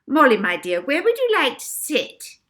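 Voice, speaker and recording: A woman's voice: high voice, huge pitch swings, moderate pace, a bit bright, voice pushed up, exaggerated voice. A woman voicing a bad-tempered, toothless older orphanage mistress who fawns on her favourite and snaps at others, in a storybook reading. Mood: gushing, fawning.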